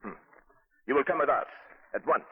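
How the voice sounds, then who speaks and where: An actor playing a curt, commanding police lieutenant in 1940s radio drama. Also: male voice, mid-range voice, animated, moderate pace, natural voice. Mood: curt, officious. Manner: commanding, clipped. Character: curt, officious French colonial police lieutenant. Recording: noisy, tinny 1940s radio recording.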